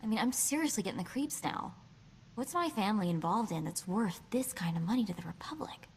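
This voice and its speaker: An actress playing a sassy, quick-witted young woman hacker in a video game, with a chatty, wry delivery. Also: medium pitch, lively intonation, slight background noise, lightly acted. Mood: uneasy. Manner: wry, chatty, hesitant asides.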